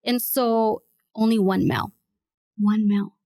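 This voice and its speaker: A woman's voice: mid-range voice, fairly even intonation, measured pace, dark tone. A young woman co-hosting a podcast, talking casually and telling stories with lots of filler words. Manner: chatty, storytelling with many "like" fillers. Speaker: podcast co-host. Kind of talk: casual chat.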